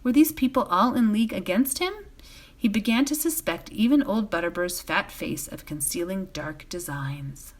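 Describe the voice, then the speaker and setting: A woman reading a bedtime fantasy novel aloud as a volunteer, in an expressive storytelling style. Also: mid-range voice, moderate pace.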